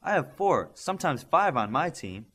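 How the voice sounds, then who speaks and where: A man's voice: fairly high pitch, very animated, moderate pace, fairly bright tone, breathy edge, voice pushed up, full character voice. A man reading the part of a blunt, confident male superhero team leader in a storybook, straight-faced and matter-of-fact. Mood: dry, assured.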